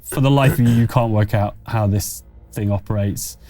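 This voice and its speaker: A man running a tabletop game as game master, narrating off the cuff in a hesitant, halting way. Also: on the low side, fairly even intonation. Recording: music behind the voice.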